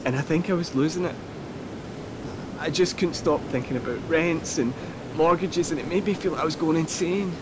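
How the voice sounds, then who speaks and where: A man acting in an audio drama as a remorseful, earnest partner, speaking in a halting, confessional way and swearing as he goes. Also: natural voice. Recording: loud rushing surf-like rumble noise.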